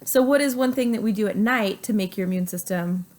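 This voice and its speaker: Middle-aged woman, a doctor hosting a casual home video, unwell, gently quizzing a child, mid-pitched. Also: a little fast. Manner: coaxing, asks leading questions. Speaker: doctor and host.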